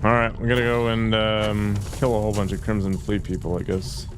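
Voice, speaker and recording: A man's voice: lowish voice, level tone, brisk, over music. A man casually commenting while playing a video game, rambling and joking offhand. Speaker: gameplay streamer. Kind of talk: casual let's-play commentary.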